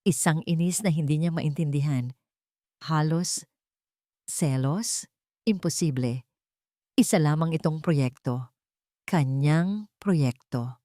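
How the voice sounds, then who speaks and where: Female narrator, Filipino accent, low voice, dry close sound, scripted romance storytelling. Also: slow, long pauses, mellow, dry recording.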